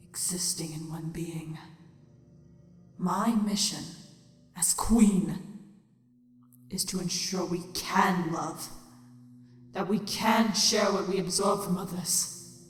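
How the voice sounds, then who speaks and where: A woman playing a menacing, bitter, weary changeling queen in an audio drama, slow and theatrical, often sinking toward a whisper. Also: lowish voice. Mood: proud, wistful. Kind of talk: audio drama acting of fan fiction. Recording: very echoey, long hall reverb. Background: music.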